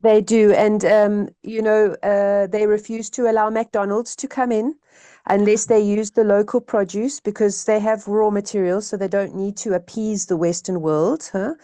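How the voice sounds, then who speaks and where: A woman's voice: mid-range voice, fairly even intonation, normal speed, dark tone, smooth, no echo. A woman from South Africa joining a casual discussion as a participant, chatty and direct. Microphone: video call.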